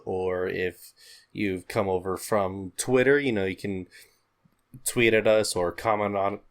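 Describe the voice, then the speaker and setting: A man co-hosting a pop culture podcast in a casual, conversational style. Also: low-pitched. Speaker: podcast co-host.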